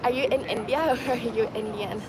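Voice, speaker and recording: A woman's voice: a little high, steady tone, fairly quick. Chatty woman streaming outdoors. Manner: chatty, laughs easily, talks to viewers as "guys". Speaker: vlogger reading live chat. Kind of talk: casual livestream chat. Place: outdoors in a city at dusk. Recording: outdoor stream with clear traffic noise. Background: street and traffic noise.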